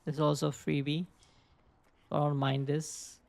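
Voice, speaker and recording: Gravelly young man rambling over gameplay. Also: a little high, little pitch movement, moderate pace, darkish tone. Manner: rambling, thinks aloud with many "like" fillers. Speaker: gaming video creator. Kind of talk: casual let's play commentary.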